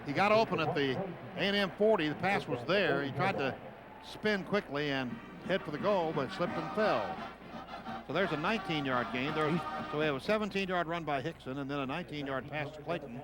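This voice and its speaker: A man's voice: a little high, fairly quick, loud music behind the voice, poor audio. An American man doing live radio football play-by-play in clipped phrases, calling the action as it happens. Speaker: play-by-play announcer. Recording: radio broadcast over clear stadium noise. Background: stadium noise.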